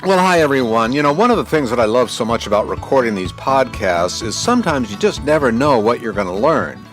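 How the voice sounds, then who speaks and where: A man's voice: mid-range voice, big pitch swings, brisk, a bit bright, soft background music. A man in his sixties hosting a podcast interview in a conversational way. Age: 60s. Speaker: podcast host.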